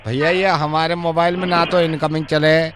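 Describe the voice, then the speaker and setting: A man's voice: fairly high pitch, level tone, normal speed, background noise, pitched above the performer's own voice, lightly acted. A man playing a confused, rustic elderly man, rambling and complaining repetitively on a prank call. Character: rustic elderly man, confused, grumbling about his mobile.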